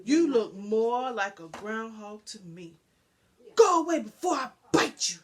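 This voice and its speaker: A woman voicing a big male dog who is nasty, snarling and shouting, in a storybook reading. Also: on the high side, big pitch swings, a little slow, slightly rough, exaggerated voice. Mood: hostile. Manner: snarling, loud, aggressive.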